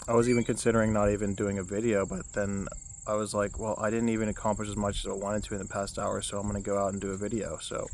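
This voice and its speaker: A young man with a low baritone voice, giving a casual self-help vlog outdoors, rambling with many restarts and fillers. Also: little pitch movement, fast talker, darkish tone, a little hiss or hum. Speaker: vlogger.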